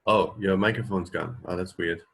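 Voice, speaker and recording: Low-voiced man hosting a casual radio chat. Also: steady tone, moderate pace, gravelly, fairly bright tone, breathy edge. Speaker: radio show host. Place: at home.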